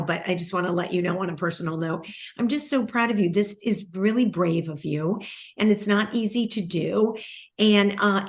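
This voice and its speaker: Effusive woman guest gushing with praise. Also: mid-range voice. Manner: effusive and affectionate, heaping on praise. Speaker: podcast guest. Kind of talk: casual conversational podcast chat.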